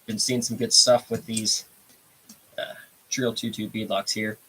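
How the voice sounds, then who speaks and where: A man's voice: lowish voice, little pitch movement, a little slow, fairly bright tone, husky, rough recording. A congested man hosting a casual live stream, rambling in a laid-back way and greeting viewers from the chat. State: congested, stuffy nose. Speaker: livestream host.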